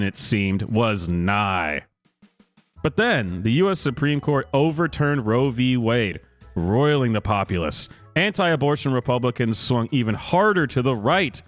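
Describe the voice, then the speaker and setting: A man reading a news story aloud as a commentary host, mock-dramatic, with sarcastic asides and theatrical pauses. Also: medium pitch. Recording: music playing behind the voice. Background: music.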